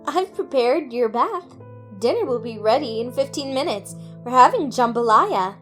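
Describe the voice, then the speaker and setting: Woman acting high-voiced, polite young heiress. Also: animated, moderate pace, fairly bright tone. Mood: gentle, polite. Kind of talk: dramatic comic dub acting. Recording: voice over background music.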